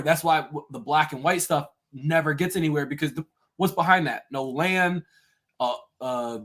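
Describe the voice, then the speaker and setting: A man taking part in a casual online group discussion, explaining his view in a measured way. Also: fairly high pitch, a bit bright.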